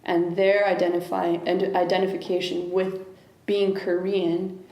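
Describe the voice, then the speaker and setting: A woman speaks as an interview guest, pausing and restarting as she searches for the right words. Her voice sits low and mellow, with a gravelly, fried edge. The recording carries a noticeable echo from the room. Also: flat delivery. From United States. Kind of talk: reflective interview conversation. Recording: echoey room.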